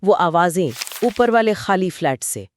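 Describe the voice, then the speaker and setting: A woman's voice: lowish voice, animated, a little slow, slight background noise. A woman co-hosting a podcast, reacting to a horror story and explaining why it unsettles. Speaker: podcast co-host. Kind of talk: conversational podcast discussion.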